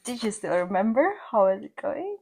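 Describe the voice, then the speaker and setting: A young woman from Indonesia co-hosting a podcast, soft-spoken and introverted, chatting with many filler likes. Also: medium pitch, very animated, moderate pace, husky. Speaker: podcast co-host. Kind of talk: casual chat.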